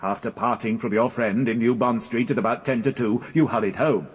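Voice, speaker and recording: An actor playing a stern, relentless British prosecuting barrister in a radio drama, questioning formally and deliberately. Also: male voice, mid-range voice, slightly rough, lightly acted. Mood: accusing.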